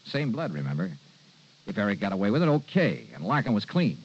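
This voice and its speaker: A man's voice: on the low side, sing-song, fairly quick, husky. An American man playing a wry, self-assured freelance insurance investigator in 1950s radio noir style, casual and slangy. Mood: smug, explaining. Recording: muffled, noisy vintage radio recording.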